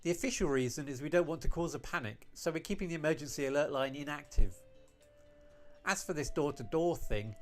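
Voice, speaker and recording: A man reading scripted visual novel dialogue in character, with a conversational, expressive delivery. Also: a little high, brisk, soft background music.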